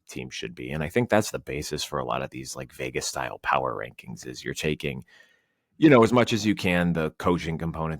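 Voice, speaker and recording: A man's voice: rumbling low, no room sound, clean studio sound. A man guesting on a sports podcast, talking through college football analysis in a rambling way, with plenty of fillers. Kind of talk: casual sports talk.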